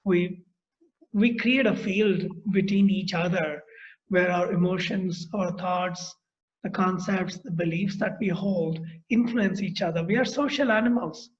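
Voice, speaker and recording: A man's voice: high voice, slight background noise. A middle-aged man with an Indian accent, interviewing a guest in a thoughtful, explanatory way over a video call from his home. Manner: thoughtful, explanatory, speaks in long measured phrases. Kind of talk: reflective conversation. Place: room at home. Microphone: laptop mic on a video call. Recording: dull lo-fi video call audio.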